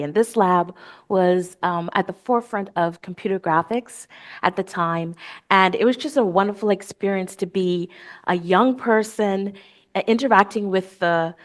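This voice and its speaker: Articulate woman professor from the Bahamas. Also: fairly low pitch. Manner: articulate, reflective storytelling. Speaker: guest lecturer, professor. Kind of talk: interview answers on stage.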